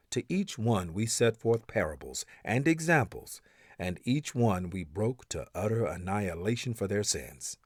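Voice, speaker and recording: Man, lowish pitch, solemn scripture recitation, measured delivery, dramatic emphasis, dry close recording. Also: a little mellow, no room sound. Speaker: narrator reading scripture translation. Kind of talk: expressive scripture recitation in English.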